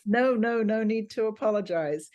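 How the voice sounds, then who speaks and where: A woman's voice: mid-range voice, normal speed, darkish tone. A woman with an effusive, exclamatory manner, teaching an informal online class over a video call. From England. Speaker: teacher of a film finance class.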